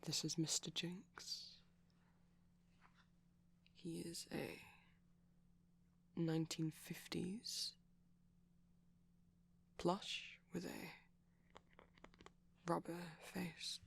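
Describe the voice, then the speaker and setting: Male, shy gentle boyfriend character, ASMR rambling, breathy and husky, gravelly fry, frequent whispering, hesitant delivery. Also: on the high side, little pitch movement, drawn-out words, long pauses. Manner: hushed, often dropping into whispers, gentle and hesitant. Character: shy, gentle pastel vampire boyfriend showing off plush toys. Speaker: ASMR roleplay performer.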